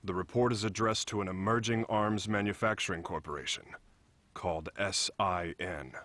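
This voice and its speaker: A man voicing a grave, serious fighter in an animated film dub, speaking in a solemn, deliberate way. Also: low voice, no echo. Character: grave, serious male fighter briefing his team. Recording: music behind the voice.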